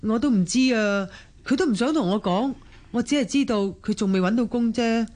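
An actress playing a worn but dutiful middle-aged daughter-in-law with a Hong Kong Cantonese accent, earnest and deferential, in a radio drama. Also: female voice, on the high side, a little fast, darkish tone, close to an everyday voice. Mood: pleading, hurried.

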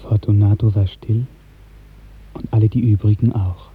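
A man narrating a literary radio drama in 1950s German style, with measured, lyrical storytelling. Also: fairly low pitch, steady tone, music in the background. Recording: muffled, narrow-band 1950s radio recording.

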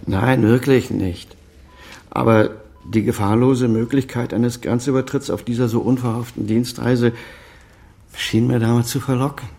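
Proud, defensive older doctor. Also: male voice, medium pitch, fairly even intonation, unhurried, vocal fry, warm tone. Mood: defensive, earnest. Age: middle-aged or older, over fifty. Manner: formal, articulate, self-justifying. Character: proud, defensive senior doctor and estranged father. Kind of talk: German radio drama dialogue.

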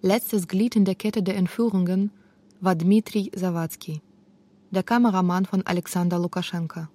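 A woman's voice: on the low side, steady tone, moderate pace, warm tone. A woman reading scripted narration for a radio documentary. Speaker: narrator and author of a radio feature.

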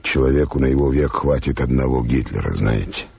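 Old, courageous, weary doctor for radio drama: extremely low, husky, close and dry, gently resigned. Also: male voice, animated, a little fast, dry recording, heavily acted. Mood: sombre, resigned. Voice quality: gravelly, husky deep voice. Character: tall elderly male doctor, grave, weary, courageous. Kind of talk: Soviet radio drama acting.

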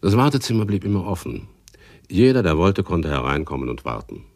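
An actor playing a world-weary, wry private detective, narrating and acting in a hard-boiled radio drama with laconic, dry delivery. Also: male voice, low-pitched, very animated, a little fast, a little mellow. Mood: matter-of-fact, reflective. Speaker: narrator and lead character.

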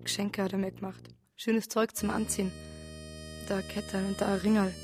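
An actress with a Bavarian accent playing a troubled wife confessing a hard youth in a naturalistic radio drama, plain-spoken and colloquial. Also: female voice, medium pitch, little pitch movement, a little fast, music in the background, lightly acted. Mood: bitter recollection.

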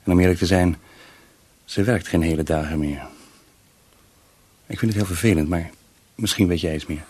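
A man's voice: low-pitched, normal speed, long pauses, a little mellow, breathy edge. A male actor in a radio play playing a worried, tactful employer who speaks hesitantly and apologetically. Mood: concerned, tactful.

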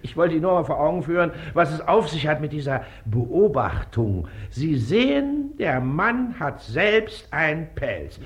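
An actor playing a pompous, impatient district official in a 1950s radio play, curt and condescending. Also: male voice, on the high side, sing-song, measured pace, few pauses.

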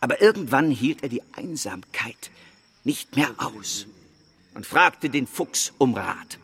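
An actor playing a fervent reverend in a radio drama, declaiming a parable in a solemn, drawn-out sermon manner. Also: male voice, medium pitch, big pitch swings, fairly bright tone, breathy edge, full character voice. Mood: solemn storytelling. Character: fervent reverend preaching a strange animal parable. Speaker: preacher. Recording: long hall reverb on the voice.

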